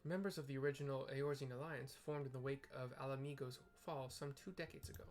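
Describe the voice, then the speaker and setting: A man's voice: medium pitch, steady tone, quick pace, darkish tone. A man narrating a video game as he plays, moving between reading aloud and frank, casual commentary with some swearing and stumbles. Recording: clear background noise.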